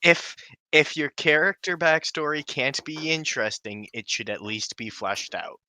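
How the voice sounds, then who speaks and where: A man's voice: a little high, a little slow, sharp tone, rough recording. A man co-hosting a casual podcast, chipping in with wry, mock-earnest remarks. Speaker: podcast co-host.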